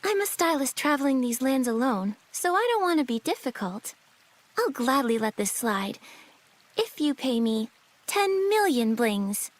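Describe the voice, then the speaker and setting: High-voiced plucky young heroine. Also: female voice, sing-song, a little slow, exaggerated voice. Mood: firm. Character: plucky indignant young female stylist adventurer. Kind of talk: game cutscene voice acting.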